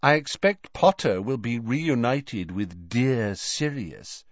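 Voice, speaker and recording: A man voicing a cold, haughty aristocratic mother who speaks with slow, disdainful menace, in an audiobook story. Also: medium pitch, animated, no echo, highs cut off, voice pushed up. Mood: smug menace.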